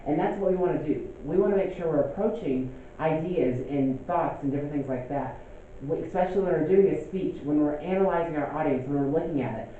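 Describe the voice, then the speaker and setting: A man's voice: a little high, a little fast, a little hiss or hum. A young man teaching a class in a conversational way, often checking in with "okay?". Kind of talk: classroom lecture. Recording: echoey room, phone-like lo-fi sound.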